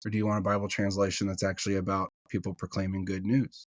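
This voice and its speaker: Male guest, low voice, dry close recording, deadpan humor, chatty. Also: quick pace, no room sound. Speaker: podcast guest, ordination candidate. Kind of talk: casual podcast conversation.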